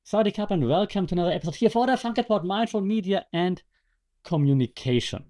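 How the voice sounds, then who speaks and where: A man's voice: high-pitched, animated, brisk, very noisy. A man hosting a podcast with casual, conversational commentary and wry, sarcastic asides. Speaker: podcast host and media lecturer.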